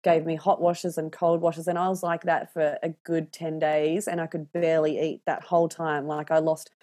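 An Australian woman in her thirties chatting casually and candidly, telling animated stories and swearing freely. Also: low voice, normal speed. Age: 30s. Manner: animated, candid storyteller who swears freely and acts out voices. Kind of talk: casual podcast chat.

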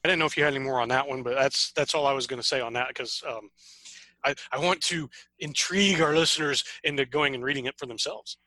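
A man's voice: medium pitch, fast talker, bright, forceful tone, no echo. A man co-hosting a casual podcast, summarizing a story and playfully teasing his co-host. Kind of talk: casual book summary and chat.

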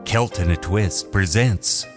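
A man announcing a show segment in a slow, theatrical, soothing manner. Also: deep voice, music in the background. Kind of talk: dramatic announcer voiceover.

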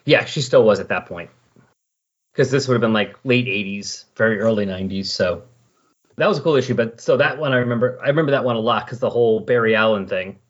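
A man with a slightly husky voice in casual, rambling, enthusiastic conversation as a podcast host. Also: fairly low pitch, fast talker. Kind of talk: casual chat about comic books. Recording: dull, lo-fi recording.

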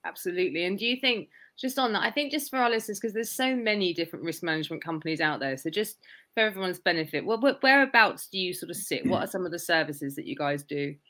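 A woman hosting a podcast, chatting casually and warmly with her guest, with lots of fillers and a self-deprecating manner. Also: lowish voice, expressive, fast talker. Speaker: podcast host. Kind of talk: casual interview chat.

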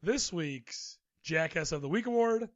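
A man's voice: a little high, lively intonation, a little slow, lo-fi sound. A man co-hosting a casual sports talk show, reading the news and then riffing with his own opinions. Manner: chatty, reads news then riffs with opinions. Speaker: podcast co-host.

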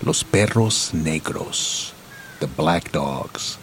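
An actor playing a streetwise, middle-aged ex-convict uncle in a radio play, rambling, candid and affectionate. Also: male voice, very deep voice, expressive, very slow, gravelly, a little hiss or hum, exaggerated voice. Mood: boastful, playful. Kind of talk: naturalistic radio play acting.

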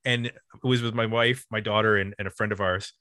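A middle-aged American man with a fairly low, clearly bright voice. He talks casually as a podcast co-host, halting and wandering off on self-deprecating tangents. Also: steady tone, no echo, clean studio sound. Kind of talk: casual album discussion.

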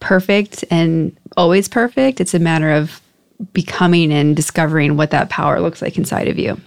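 Female American podcast host, lowish pitch, dry sound, conversational interviewing. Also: moderate pace, gravelly, no room sound. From born in New Jersey, United States.

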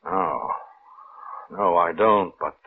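An actor playing a troubled minister, grave, fearful and morally firm, pleading haltingly in old-time radio drama style. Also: male voice, low voice. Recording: muffled, noisy old radio recording.

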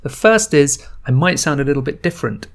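A man's voice: a little high, a little fast, creaky. Chatty man hosting a podcast. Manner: chatty, relaxed, thinking aloud with fillers. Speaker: podcast host. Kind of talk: casual solo podcast intro. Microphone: new home podcast microphone setup.

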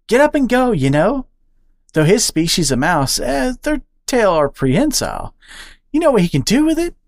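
A woman voicing a bubbly, brash young female squirrel who blurts things out, with a squeaky excited voice. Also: normal speed, dry recording, studio quality. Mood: excitable. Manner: loud, excitable, high-pitched blurting.

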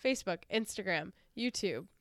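A woman's voice: medium pitch, animated, moderate pace, no room sound. A woman co-hosting a casual podcast, a little nasal from a sinus infection, chatty, laughing often and swearing casually. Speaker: podcast co-host.

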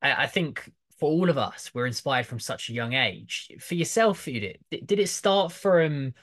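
A man's voice: mid-range voice, animated, moderate pace, a bit bright. A man hosting a podcast interview, speaking conversationally with enthusiastic, wordy questions. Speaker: podcast host.

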